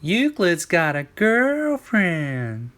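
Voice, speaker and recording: Excitable, teasing ancient Greek pupils all played by one man. The voice jumps up high above his usual talk in an exaggerated singsong, easily impressed, as in a storybook reading. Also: extremely slow, heavily acted.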